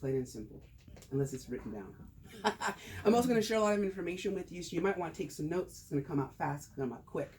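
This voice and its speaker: A man's voice: fairly high pitch, lively intonation, fast, slight background noise. Joking man giving a tax talk. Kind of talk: casual group talk turning into a presentation.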